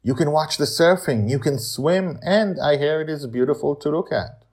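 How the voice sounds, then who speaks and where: A proud girl from an audio story, acted out by a man, with formal, careful phrasing. The pitch is lifted well up and the delivery is stubborn, with an anxious streak. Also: moderate pace, a little mellow, lightly acted. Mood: approving.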